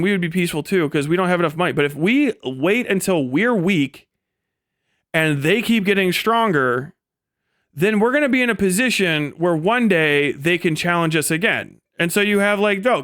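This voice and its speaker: A man livestreaming an opinionated, off-the-cuff rant, blunt, sweary and sardonic, acting out imagined exchanges in an animated way. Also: sits high, clear, resonant tone, no room sound, studio quality. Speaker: livestream host.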